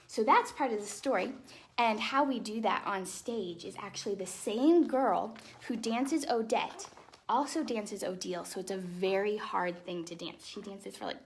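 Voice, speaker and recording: A woman hosting storytime, reading a fairytale aloud to children expressively, with casual explanatory asides in a softer, chatty manner. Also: mid-range voice, vocal fry. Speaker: storytime host and ballet dancer.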